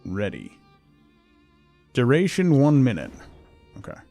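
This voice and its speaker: A man's voice: mid-range voice, huge pitch swings, takes it slowly, mellow. A man chatting casually as he streams a game, thinking aloud in short fragments. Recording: music behind the voice. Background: music.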